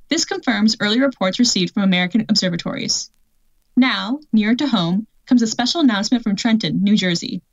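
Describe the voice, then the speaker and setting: A woman acts as an official female announcer in a vintage radio play, reading news bulletins. Her pitch is on the high side and her tone formal and factual. The recording is dry and a little dull. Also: dry recording, natural voice. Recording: dull lo-fi audio.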